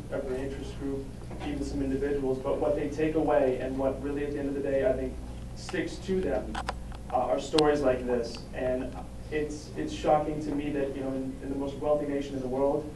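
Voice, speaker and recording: A male organizer giving a halting town hall speech full of restarts. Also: medium pitch, level tone, noisy background. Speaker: volunteer organizer. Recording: echoey hall recorded from far off.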